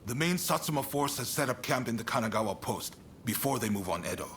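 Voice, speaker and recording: A man acting an affable, shrewd statesman in a video game cutscene, expressive and earnest but conversational. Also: medium pitch, quick pace, a little hiss or hum, lightly acted. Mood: urgent, earnest. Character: affable shogunate statesman, shrewd negotiator, warm toward his ally. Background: music.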